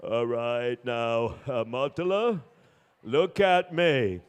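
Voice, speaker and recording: A man acting a patient but insistent doctor in a told story, speaking in a deliberate way. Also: medium pitch, clean tone, in a large hall, pitched below the performer's own voice, lightly acted. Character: stern, patient male doctor addressing deaf patient.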